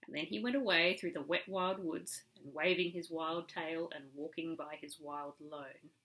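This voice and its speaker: Bright-voiced woman reading a children's story. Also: sits low, normal speed, rough recording. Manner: animated, emphatic storytelling. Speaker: storyteller reading to a young relative.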